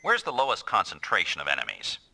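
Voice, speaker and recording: Low-voiced, commanding squad captain. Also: male voice, huge pitch swings, fast talker, creaky, very noisy, exaggerated voice. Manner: terse, authoritative orders. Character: commanding, stern military mech squad captain, man. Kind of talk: anime-style video game voice acting. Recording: loud music under the dialogue.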